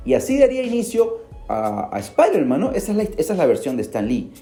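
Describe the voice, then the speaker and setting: Guy telling a story, bouncy lively pitch, warm voice, roomy echo w faint music under. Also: fairly quick, creaky. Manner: animated, dramatic storyteller. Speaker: podcast host. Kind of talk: storytelling narration.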